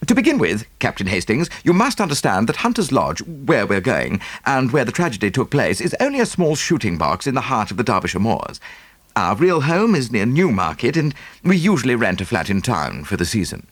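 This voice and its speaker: An actor voicing a well-bred, self-deprecating English gentleman in an audiobook, with a courteous, hesitant, explanatory manner. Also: medium pitch, very animated, fairly quick, dry recording. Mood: explaining. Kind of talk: audiobook character acting.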